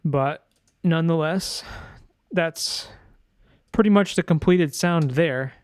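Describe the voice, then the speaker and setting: A man's voice: a little high, slow, clean tone. A man giving a casual screen-share tutorial as a sound designer, thinking aloud informally and correcting himself as he goes.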